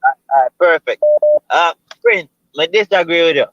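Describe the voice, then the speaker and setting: A Jamaican man talks football over a call, his voice pitched a little high and bright with some edge. The line is dry and dull, like lo-fi call audio. He speaks in a measured way, stopping and restarting. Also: animated, no room sound. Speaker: caller. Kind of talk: casual football talk.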